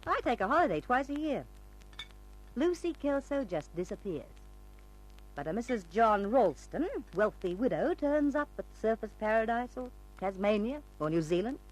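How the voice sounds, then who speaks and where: A woman's voice: a little high, big pitch swings, normal speed, rough recording. An actress playing a brash, slangy, eccentric woman with a shrewd side in an old-time radio drama. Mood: cheerful, proud. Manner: slangy, bold, theatrical. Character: brash eccentric older woman, street character secretly shrewd and refined. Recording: old radio recording with music.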